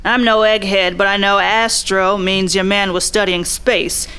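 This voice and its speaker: A woman's voice: medium pitch, flat delivery, a little slow, pushed and bright, smooth. A woman playing a blunt, suspicious 1940s private eye who questions in a pressing, hard-boiled way, in radio drama style. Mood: probing, skeptical.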